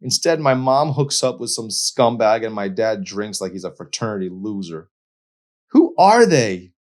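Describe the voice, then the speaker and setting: A man's voice: lowish voice, very animated, unhurried, a little mellow, pitched above the performer's own voice. A man voicing an angry, hurt young woman in an audiobook, demanding and confrontational. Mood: distraught, wounded. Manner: indignant, confrontational.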